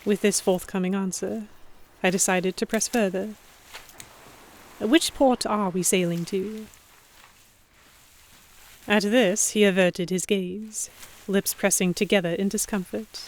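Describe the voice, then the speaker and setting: A woman's voice: mid-range voice, fairly even intonation, measured pace, long pauses, lightly acted. A woman playing an anxious young noblewoman who narrates an audio drama in a fretful, tentative, introspective manner. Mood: tense, dramatic. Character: anxious young noblewoman heroine narrating her captivity among pirates. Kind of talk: first-person scripted narration in audio drama.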